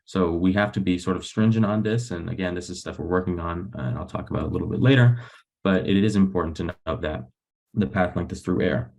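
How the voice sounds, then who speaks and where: Young male researcher, very low gravelly register, explaining technical slides on a webinar. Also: fairly quick, a little mellow. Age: young adult. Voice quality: low, creaky voice. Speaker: graduate student researcher presenting. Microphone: video call.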